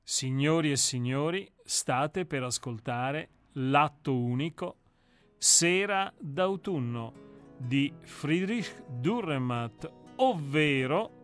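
A man narrating the opening of a radio play in a slow, deliberate, theatrical way. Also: on the high side, lively intonation, soft background music. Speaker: reader and narrator.

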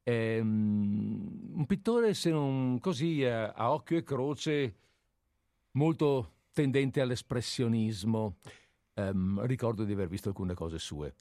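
A man's voice: medium pitch, takes it slowly, warm tone, no room sound, clean studio sound. A man co-hosting a radio programme in a casual, playful way, with a hesitant, wry and mock-formal manner. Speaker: radio show co-host.

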